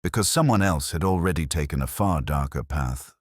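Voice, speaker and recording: A man's voice: a bass voice, expressive, fairly quick, no room sound, clean studio sound. A man voicing a composed, bitter middle-aged woman who speaks in a cool, cutting way. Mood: controlled.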